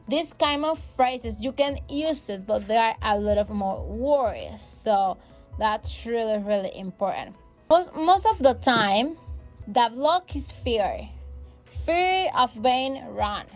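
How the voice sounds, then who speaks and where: Slow-talking woman teaching English, Spanish native. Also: on the high side. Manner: encouraging, repeats key phrases, non-native grammar slips. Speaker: English teacher and podcast host. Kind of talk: casual podcast lesson. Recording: faint music in the background.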